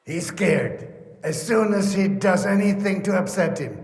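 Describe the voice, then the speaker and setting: A man's voice: high-pitched, measured pace, darkish tone. Resigned male adviser, echoing hall. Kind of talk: video game cutscene acting.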